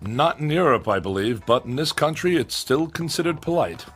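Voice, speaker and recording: A man's voice: on the high side, expressive, normal speed, fairly bright tone, breathy edge, soft background music. An actor playing a fussy, eccentric tech-minded man in a radio drama, speaking in a formal, pedantic, theatrical way. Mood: brisk, evasive. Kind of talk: comedic radio drama acting.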